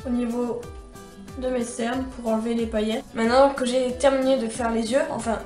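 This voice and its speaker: A woman's voice: on the high side, a little mellow, reverberant. A young woman with a cold and a stuffy nose, chatting her way through a makeup tutorial with many fillers and restarts. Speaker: beauty vlogger.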